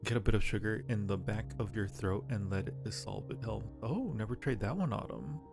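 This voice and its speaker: A man's voice: fairly low pitch, brisk, vocal fry. A man hosting a live game stream, chatting casually with music playing behind him. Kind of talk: casual live chat while gaming.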